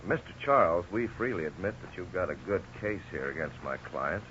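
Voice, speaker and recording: An actor playing a frank, courteous lawyer in a 1950s radio drama, speaking in a formal, measured, explanatory way. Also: male voice, sits low, expressive, over music. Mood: diplomatic. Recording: dull, noisy 1950s radio recording.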